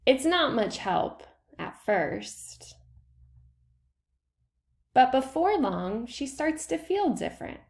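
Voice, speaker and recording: A woman's voice: high voice, sing-song, slow, long pauses. A woman reading a children's picture book aloud, telling it expressively and stressing key words. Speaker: read-aloud reader.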